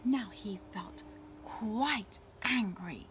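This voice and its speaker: A woman storyteller reading a picture book aloud to children, with dramatic pauses and questions put to her young listeners. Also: mid-range voice, a bit bright, a little hiss or hum.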